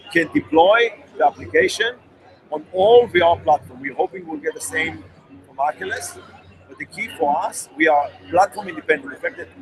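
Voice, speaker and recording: Brief: man, company representative, fast pitch with restarts, voice a bit high. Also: rough recording. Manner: fast, with many restarts and repeated words. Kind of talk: on-the-spot interview.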